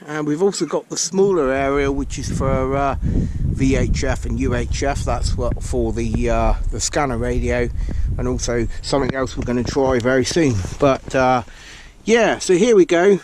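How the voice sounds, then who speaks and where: A man's voice: medium pitch, a little slow. A man with a British accent giving a casual, chatty hands-on gadget walkthrough to his viewers as a vlog host. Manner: chatty, addresses viewers as folks. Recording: clear vehicle and ambient noise.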